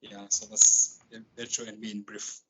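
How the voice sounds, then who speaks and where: A man from Tanzania, a startup chief executive, introducing his work on a panel, steady and halting with fillers. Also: on the low side, breathy edge, rough recording. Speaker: startup co-founder and CEO, panelist.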